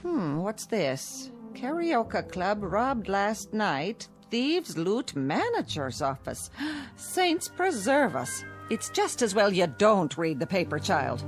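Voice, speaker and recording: A woman's voice: mid-range voice, wildly swooping pitch, faint music behind. An actress playing a fussy, motherly landlady who scolds and fusses, in a 1960s TV drama. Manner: fussy, scolding, slow and sing-song.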